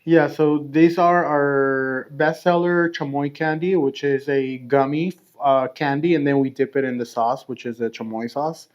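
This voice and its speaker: A man from Mexico, guest on a podcast, answering casually and steadily with frequent pauses and light laughs. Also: mid-range voice, fairly even intonation, muffled recording. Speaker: podcast guest, snack brand founder.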